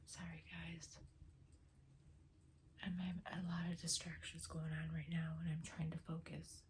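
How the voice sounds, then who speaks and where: A woman talks mostly in a hushed whisper, slow and even, her voice low and flat as she gently pleads and coaxes. The recording is made at home, with a faint hiss underneath. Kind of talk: spirit communication vlog.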